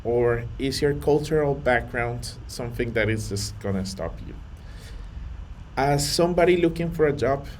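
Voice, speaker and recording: Man, earnest to-camera vlogger, mid pitch, faint room noise. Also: a little mellow. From Colombia. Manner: earnest, measured, with fillers and restarts. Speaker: vlogger on data careers. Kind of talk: to-camera talk.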